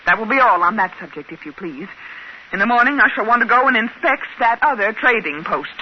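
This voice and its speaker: An actress playing a brisk, imperious company inspector in a 1950s radio drama, curt and haughty in manner, with an American accent. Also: female voice, fairly high pitch, expressive, lightly acted. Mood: cold, impatient. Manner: curt, haughty, clipped commands. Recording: hissy, muffled 1950s radio recording.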